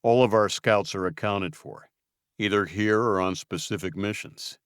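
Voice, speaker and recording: A man playing a grim, quietly menacing leader. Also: clear, resonant tone, no echo, studio quality, lightly acted. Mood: cold, probing. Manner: low, slow, quietly menacing.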